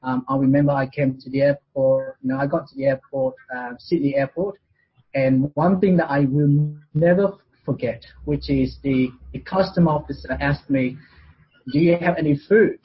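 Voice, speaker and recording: A man's voice: medium pitch, fairly even intonation, moderate pace, husky, lo-fi sound. A man in his forties from Vietnam with a Vietnamese accent, talking as an interview guest in a casual, hesitant way with many fillers and restarts. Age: 40s.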